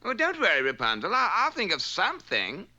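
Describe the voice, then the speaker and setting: A man's voice: high voice, huge pitch swings, a little fast, pushed and bright, full character voice. A man voicing a hapless, agreeable fairy-tale fellow in a comic cartoon, goofy and exaggerated. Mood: breezy. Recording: muffled, lo-fi cartoon soundtrack.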